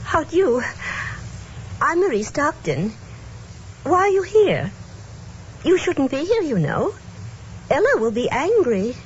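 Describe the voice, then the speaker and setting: High, fluttery, anxious old lady. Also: very animated, slow pace, pauses often, full character voice. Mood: wary, startled. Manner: hesitant, fluttery. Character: frail, confused, anxious old spinster lady. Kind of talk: old-time radio acting. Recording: muffled, noisy old radio recording.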